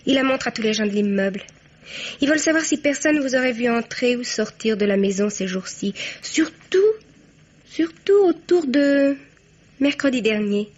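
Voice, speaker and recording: High-voiced young blackmailer, dull recording. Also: female voice, lively intonation, moderate pace, darkish tone. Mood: chatty, sly. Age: young adult. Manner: chatty, insinuating, mock-innocent. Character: cheeky young woman blackmailer, taunting and sly. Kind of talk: French radio crime drama acting. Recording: dull, band-limited radio sound.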